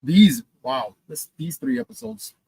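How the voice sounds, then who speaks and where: A man co-hosting a casual podcast chat and reading out news items, animated and halting, with many fillers. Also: a little high, breathy edge, dry recording. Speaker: podcast co-host.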